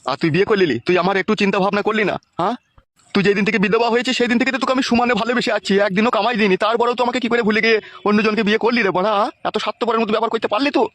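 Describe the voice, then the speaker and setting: A man's voice: high-pitched, steady tone, rapid-fire, fairly bright tone, no room sound. A man voicing an aggrieved, lovesick aging villager in a comic cartoon, complaining melodramatically. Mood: heartbroken. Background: background music.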